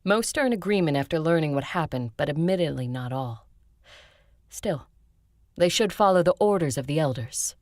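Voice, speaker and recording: Ancient female vampire, lowish pitch, measured, earnest, resolute, close dry sound, fiction podcast. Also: lively intonation, darkish tone, dry recording. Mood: earnest resolve. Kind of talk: audio drama acting and first-person narration.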